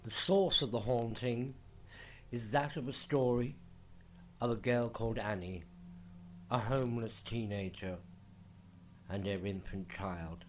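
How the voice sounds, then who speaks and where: A man narrating a true ghost story in a slow, hushed, dramatic scripted delivery. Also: medium pitch, fairly even intonation, slight background noise. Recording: faint music underneath.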